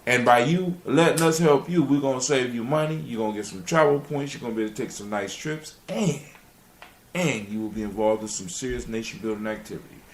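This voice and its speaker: A man's voice: medium pitch, fairly quick. A man hosting a radio show in a casual, rambling way, repeating phrases as he finds his words. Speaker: radio show and podcast host. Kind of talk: casual live show chat and sign-off. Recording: roomy echo, faint music.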